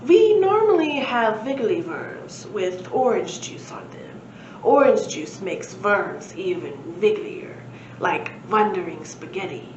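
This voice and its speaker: A woman voicing a sly, hungry, pale vampire creature in a children's storybook, with slow, drawn-out speech. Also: mid-range voice, big pitch swings, distant mic. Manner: slow, drawn-out, menacing delivery. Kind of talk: children's storybook read-aloud. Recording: roomy, muffled, faint background noise.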